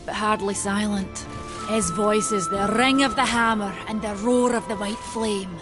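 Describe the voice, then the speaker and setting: A woman's voice: mid-range voice, fairly even intonation, a little slow, music in the background, lightly acted. An actress playing a proud, steadfast female maker of forge folk in a video game, earnest and stately. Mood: firm, proud. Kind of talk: video game cutscene acting.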